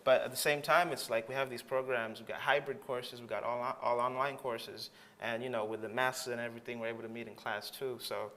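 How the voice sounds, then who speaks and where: A man's voice: mid-range voice, fairly even intonation, brisk, hardly any pauses, a bit bright. A man from Tanzania, a graduate student, answering interview questions with enthusiasm and lots of "you know" fillers. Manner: enthusiastic, rambling with many fillers.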